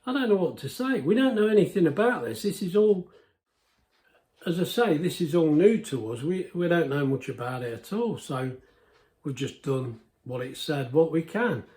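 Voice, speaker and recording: An older man talks through his cooking in a home kitchen. His voice sits a little high for a man, and his chat is rambling and self-deprecating. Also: lively intonation, normal speed, a little mellow. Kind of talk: casual cooking commentary.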